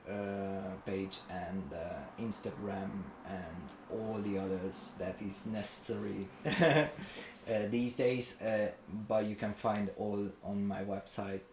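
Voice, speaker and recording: A man, a leather craftsman talking hesitantly about his business, with many pauses and fillers. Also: on the low side, roomy sound, slight background noise, poor audio. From Hungary. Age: 20s.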